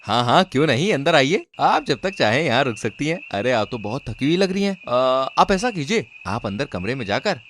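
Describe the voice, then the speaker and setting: Man playing a sly, animated young villain groom. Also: mid-range voice, fairly quick, hardly any pauses, slight background noise. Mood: smarmy, scheming. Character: sly, lecherous young male groom, two-faced villain.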